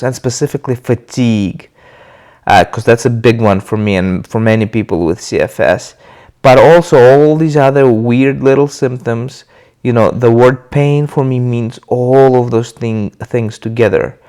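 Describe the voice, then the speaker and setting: Casting note: man, mid pitch, stuffy from a cold, easy unscripted talk with frequent fillers. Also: clear, resonant tone. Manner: relaxed, loose, with many uh fillers and restarts. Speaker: video host sharing his recovery. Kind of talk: casual talk to camera.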